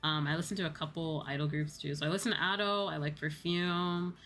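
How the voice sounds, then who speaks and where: Young woman, low voice, sleepy, rambling livestream host, casual, music in the background. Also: steady tone, a bit bright. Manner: rambling, drawn-out, repeats phrases playfully.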